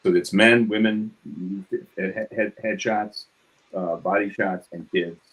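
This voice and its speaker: A man in his seventies from New York City with a New York accent, talking casually about his craft in his home studio, quick and succinct, hitting consonants hard. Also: lowish voice, fairly even intonation, a bit bright, poor audio. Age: 70s. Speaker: guest artist. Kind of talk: casual studio chat while drawing.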